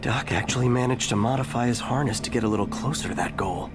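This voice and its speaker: A man's voice: mid-range voice, fast talker, noisy background, close to an everyday voice. A man playing an earnest young superhero in a video game, thinking aloud in a conversational way. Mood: explanatory. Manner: conversational, musing to himself. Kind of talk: naturalistic video game acting.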